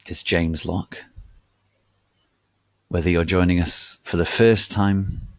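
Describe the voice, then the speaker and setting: A low-pitched man reads as host of a dramatic reading. The delivery is formal, grave and mock-solemn, with very deliberate, slow pacing. The sound is dry and close. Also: dry recording. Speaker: host and reader.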